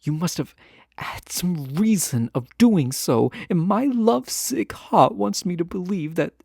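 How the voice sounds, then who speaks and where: A man playing a lovesick, proud fantasy ruler in a romantic audio drama, speaking formally, earnestly and with hesitant pauses. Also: a little high, vocal fry, darkish tone, no echo, studio quality, exaggerated voice. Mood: pleading, yearning. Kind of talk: scripted romantic audio roleplay, close and intimate.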